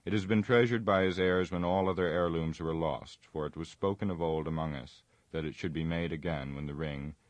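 A man voicing a grave, lean ranger who is heir to kings, speaking calmly and with measure. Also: very deep voice, no echo.

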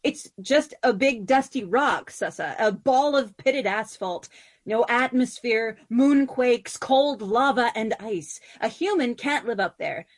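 A woman's voice: fairly high pitch, animated, slow pace. A woman doing a patient, wry wife. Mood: lecturing. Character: calm, wry former-mortal wife, fond.

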